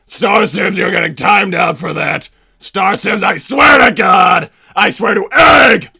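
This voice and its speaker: Casting: bombastic cartoon mad-scientist villain, doting but hot-tempered, high-pitched male voice with heavy rasp. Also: takes it slowly, bright, forceful tone, no echo, raised voice, clean studio sound, heavily acted. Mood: excited, blustering. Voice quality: gravelly, raspy. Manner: blustering, bombastic. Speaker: livestream host. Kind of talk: in-character livestream chat.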